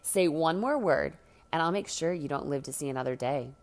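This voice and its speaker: A woman voicing a sharp-tongued but caring teenager in a read-aloud story. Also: sits low, animated, brisk. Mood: threatening.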